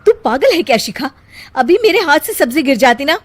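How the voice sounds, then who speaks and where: A woman voicing a cautious young married elder sister who talks in a gentle, scolding way in a cartoon story. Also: high-pitched, sing-song, fairly quick, voice pushed up.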